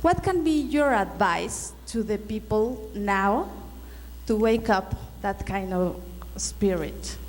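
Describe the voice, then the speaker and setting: A woman from Mexico, a native Spanish speaker with a Mexican accent, asking a question from the audience and hunting for her English words. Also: medium pitch. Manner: halting, searching for words. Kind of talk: audience question at a fan convention. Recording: reverberant hall with faint noise.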